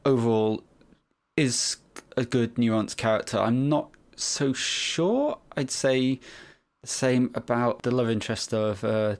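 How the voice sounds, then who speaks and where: Man, podcast discussion, measured, analytical, stutter-starts. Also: mid-range voice, steady tone. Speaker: podcast co-host discussing anime. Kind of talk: casual chat.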